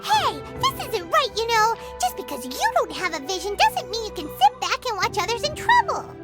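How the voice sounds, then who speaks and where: An extremely high, squeaky childlike game companion. The little creature is cutesy and excitable, exclaiming with big swings in pitch. Loud music plays behind the voice. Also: female voice, normal speed, full character voice. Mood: indignant. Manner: cutesy, exclamatory, refers to self in third person. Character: tiny floating childlike female companion, excitable and indignant. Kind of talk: animated video game voice acting.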